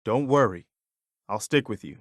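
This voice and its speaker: A loyal, earnest American militiaman from a video game. His voice sits fairly low and is recorded very dry. He talks plainly and easily, like a caring companion with firm principles. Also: animated, normal speed, no echo. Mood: matter-of-fact. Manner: earnest, easygoing, plainspoken, gently moralizing.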